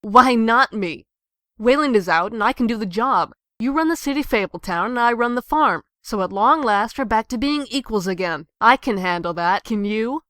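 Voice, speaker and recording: A woman's voice: a little high, lively intonation, normal speed, fairly bright tone, dry recording, studio quality. A woman playing a bitter, defiant fairy-tale sister in a comic dub, with a sarcastic, biting manner. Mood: confident, brisk. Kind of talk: dramatic comic dub acting.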